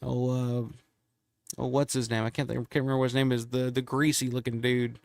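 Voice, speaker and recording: A man hosts a casual live stream while congested and losing his voice a little. He rambles, restarts and fills gaps with ums and likes. His voice is smooth and resonant, recorded dry and close. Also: medium pitch, flat delivery, fairly quick, a little mellow, dry recording. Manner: rambling, many fillers and restarts.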